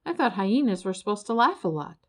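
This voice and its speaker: Woman as an earnest little fish-bird creature, lively pitch. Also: fairly quick. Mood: curious, friendly. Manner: earnest, pleading. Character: part-fish, part-bird young creature, male, timid, earnest, polite. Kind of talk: children's storybook character voice.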